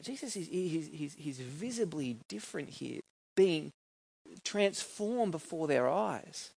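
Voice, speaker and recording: A man's voice: fairly high pitch, expressive, slow pace, vocal fry, a little mellow, slightly rough, no room sound. A man with an Australian accent preaching a sermon in an informal, conversational way, often putting questions to his listeners. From New South Wales, Australia. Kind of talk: sermon with scripture reading and personal anecdotes.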